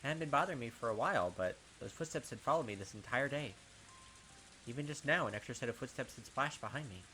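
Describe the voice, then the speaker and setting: A young man reading a visual novel aloud in a dramatic first-person voice, breaking off for excited asides and commentary as a let's play gamer. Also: mid-range voice, quick pace, a little hiss or hum, rough recording.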